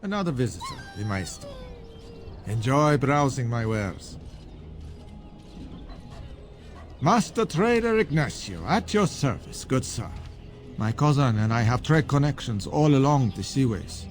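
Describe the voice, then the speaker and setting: A man's voice: medium pitch, huge pitch swings, normal speed, faint music behind. A man playing a suave, worldly foreign merchant with shady dealings in a fantasy video game, courtly and philosophical in manner. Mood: courtly, businesslike. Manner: courtly, smooth-talking, philosophical.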